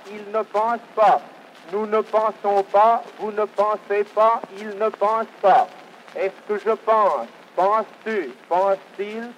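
A man leads a language lesson, working through drill after drill. His voice sits high, and his delivery is unhurried and evenly measured, each form set apart. It sounds like a recorded teaching tape. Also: dull audio, a little hiss or hum. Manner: measured, repetitive drill recitation. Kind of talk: reciting pronunciation and verb drills.

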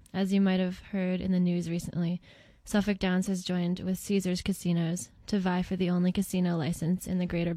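A woman's voice: lowish voice, mellow, dry recording. A woman reading a scripted introduction as a documentary narrator.

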